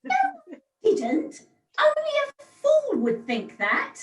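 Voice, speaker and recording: A woman's voice: very high voice, wildly swooping pitch, slow, a little hiss or hum, full character voice. A woman playing a grand, patronising pantomime fairy, with theatrical delivery, on an echoey, distant home video-call recording. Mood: condescending. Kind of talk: amateur pantomime acting.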